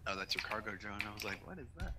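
A man's voice: lowish voice, racing, sharp tone. A man in casual gaming banter, clowning around in a cheeky, boastful and crude way. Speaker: gaming co-op guest. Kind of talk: casual banter while playing.

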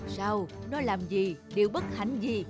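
Merchant father, middle-aged man actor's role: stern, gruff, authoritative, gullible. Cartoon with light music. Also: medium pitch, measured pace, lightly acted. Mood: puzzled.

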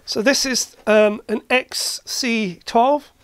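A hobbyist host giving a casual hands-on demonstration, halting and matter-of-fact, thinking aloud while working. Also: medium pitch, fairly even intonation, a bit bright, slight background noise. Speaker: host, retro computer hobbyist. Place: indoor cluttered workshop.

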